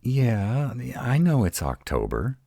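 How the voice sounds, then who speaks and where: Casting: male actor for an uptight, protective male were-dragon in roleplay audio; slow, halting, widely swinging intonation. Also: mid-range voice, huge pitch swings, mellow, no echo, clean studio sound, heavily acted. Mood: agitated, incredulous. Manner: halting, dramatic, slow delivery with emphatic outbursts. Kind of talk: intimate roleplay audio drama.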